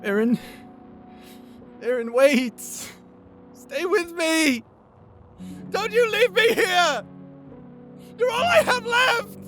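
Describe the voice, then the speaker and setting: A performer playing an insecure, emotional young male wizard, earnest and halting, in a fantasy audio roleplay. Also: extremely high pitch, pushed and bright, soft background music, exaggerated voice. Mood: desperate, pleading. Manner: halting, stammering, pleading.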